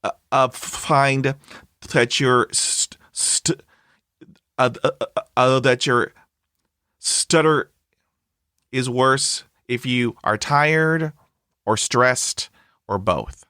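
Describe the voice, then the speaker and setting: A man's voice: medium pitch, very slow, long pauses, pushed and bright, smooth, dry recording, clean studio sound. A man hosting a podcast interview, stuttering heavily with blocks and repeated sounds, upbeat and chatty with lots of fillers. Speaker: podcast host. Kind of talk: conversational interview.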